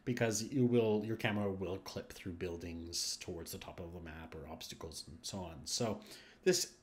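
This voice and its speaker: A man's voice: low voice, steady tone, normal speed. A man hosting a gaming video, giving casual update commentary and thinking aloud as he speculates.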